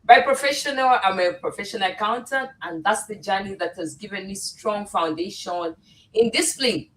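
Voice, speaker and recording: A middle-aged woman hosts a live online panel, speaking at an ordinary middle pitch. She sits a little back from her mic on a video call, so her voice sounds somewhat distant. She greets viewers with frequent filler words. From Uganda. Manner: warm welcoming, with frequent fillers. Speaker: host. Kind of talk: casual livestream hosting.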